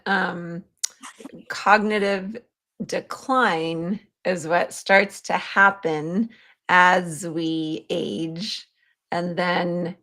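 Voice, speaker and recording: A woman's voice: on the low side, fairly bright tone. A woman hosting a podcast, interviewing conversationally and thinking aloud as she rephrases things informally. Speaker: podcast host.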